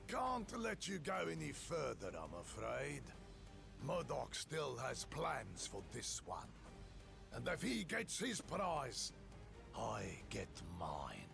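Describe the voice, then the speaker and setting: A taunting video game villain with a heavily creaking voice and slow delivery. He is theatrical and power-hungry, mocking his foes while loud music plays. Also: mid-range voice, huge pitch swings, full character voice. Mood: smug.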